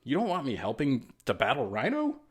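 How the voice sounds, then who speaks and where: Man reading as an eager young superhero, very animated. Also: mid-range voice, fairly quick, breathy edge. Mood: hurt. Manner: earnest, youthful. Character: eager, self-doubting young male superhero sidekick. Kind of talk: children's storybook character voice.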